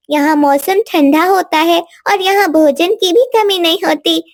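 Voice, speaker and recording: Woman voicing a very high-pitched proud queen ant. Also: dry recording. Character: dignified hardworking queen ant, proud and talkative. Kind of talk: Hindi cartoon moral story dialogue.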